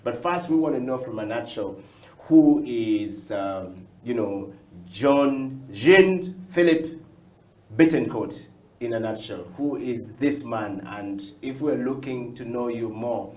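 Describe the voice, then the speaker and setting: A man's voice: medium pitch, lively intonation, takes it slowly, darkish tone. A man hosting an interview in a conversational way, putting questions to his guest. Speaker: interviewer, host. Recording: distant, echoey, noisy room sound.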